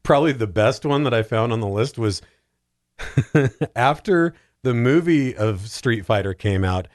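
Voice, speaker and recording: A man in casual, comedic podcast chat, telling things in an animated, halting way with plenty of filler likes. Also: mid-range voice, no room sound, studio quality. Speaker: podcast panellist.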